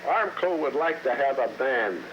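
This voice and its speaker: A man's voice: medium pitch, animated, moderate pace, fairly bright tone, a little hiss or hum. An older man from Ohio casually reminiscing, rambling and hesitant with many fillers. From Middletown, Ohio. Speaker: interviewee recalling the past. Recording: dull lo-fi archival tape.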